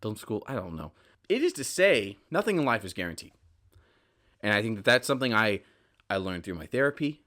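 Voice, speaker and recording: A man's voice: lowish voice, very animated, a little fast, sharp tone. Earnest man talking on his podcast. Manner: earnest, motivational, emphatic repetition. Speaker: podcast host. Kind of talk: casual reflective podcast monologue.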